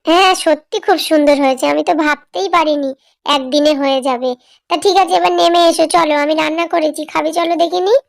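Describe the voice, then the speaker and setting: Woman doing a high, fretful cartoon village wife, caring, dry close sound. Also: fairly even intonation, quick pace, vocal fry, no room sound. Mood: relieved.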